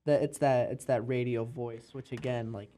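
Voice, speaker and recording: Man, interview host, casual chat, rambling questions, mid-range pitch. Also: fairly even intonation, normal speed, dark tone, slight background noise. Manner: laughs often, rambling questions.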